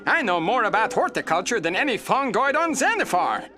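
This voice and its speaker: A man's voice: sits high, very animated, a little fast. A man voicing a cheerful, naive, brainwashed alien fungus gardener in a game cutscene, chirpy and eager. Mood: chipper, helpful. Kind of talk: animated game cutscene acting. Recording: music behind voice.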